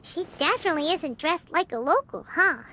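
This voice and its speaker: A woman voicing a tiny, cheeky, excitable fairy girl with a squeaky childlike voice in an anime-style game. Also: brisk, a little hiss or hum, full character voice. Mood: chatty, curious. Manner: exclaiming, refers to self in third person.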